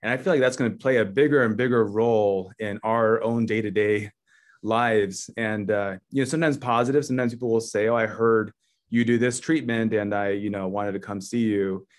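A man in his thirties moderating a medical webinar over a video call, relaxed and full of "you know.". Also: on the low side, normal speed. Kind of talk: casual panel moderation. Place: office at home or work, indoors.

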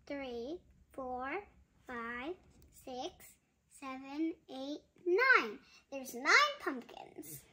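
Slow, sing-song young girl reading aloud. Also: extremely high pitch, fairly bright tone, a little hiss or hum. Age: child. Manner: giggly, chatty asides between lines. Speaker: child reading a bedtime story. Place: bedroom at home, indoors.

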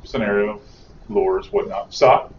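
A man's voice: lowish voice, slow pace, vocal fry, slight background noise. Man moderating a fishing panel, echoey. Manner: brief affirming interjections. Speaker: class moderator. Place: fishing class venue, indoors. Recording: echoey room, far from mic, dull.

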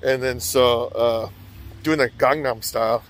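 A man's voice: mid-range voice, steady tone, unhurried. A man talking to the camera in a rambling, casual vlog, often checking in with "okay?" and "you know". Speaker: vlogger. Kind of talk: casual walking vlog monologue.

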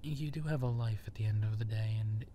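A man playing a friendly, self-effacing werewolf in human form in an intimate roleplay audio drama, speaking in a halting, conversational way. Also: on the low side, mellow, a little hiss or hum, natural voice. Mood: friendly, grateful.

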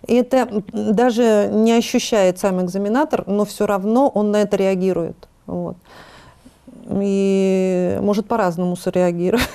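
Woman in her fifties from the Urals, mellow, hesitant studio guest. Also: medium pitch, steady tone, normal speed, smooth. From Urals, Russia. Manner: reflective, hesitant, many fillers. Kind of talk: casual studio conversation. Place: TV studio set.

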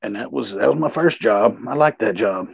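Very gravelly man. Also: lowish voice, animated, moderate pace, no echo. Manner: folksy, rambling, profane. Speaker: show co-host telling stories. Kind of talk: casual anecdotal storytelling. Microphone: remote livestream call. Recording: dull, muffled online stream audio.

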